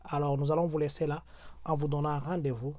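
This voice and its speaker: A man with a Congolese French accent speaks to his viewers in a mellow, slightly high voice, building his points through repeated rhetorical phrases and dropping into Lingala at times. The sound is dry and close to the mic. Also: flat delivery, fairly quick, no room sound. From Democratic Republic of the Congo. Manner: repetitive rhetorical, mixes in Lingala. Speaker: host of a diaspora channel. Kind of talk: exhortative address to viewers.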